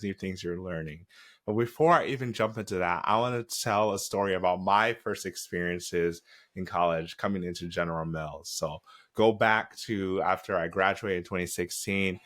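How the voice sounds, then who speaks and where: Deep-voiced young man hosting a podcast. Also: fairly bright tone. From Nigeria, raised in the US Midwest. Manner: encouraging, casual, with restarts. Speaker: podcast host. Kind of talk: solo podcast talk.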